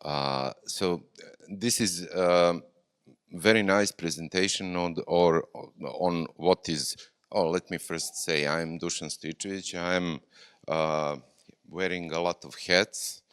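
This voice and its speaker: Man, very low and unhurried, informal conference speaker. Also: fairly even intonation, fairly bright tone, clean tone, no room sound, clean studio sound. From Serbia. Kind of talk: unscripted conference talk.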